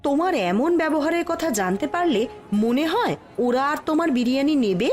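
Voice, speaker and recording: A woman's voice: high-pitched, expressive, fairly quick, a little mellow, soft background music. A woman playing a stern wife in a cartoon story, nagging and reproachful as she lectures her husband. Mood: scolding, warning. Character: stern, scolding wife of a proud shopkeeper.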